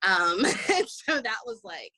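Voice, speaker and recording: A woman, a stage actor, talking casually in an interview, breaking her phrases with pauses. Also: medium pitch, very animated, sharp tone, dry recording.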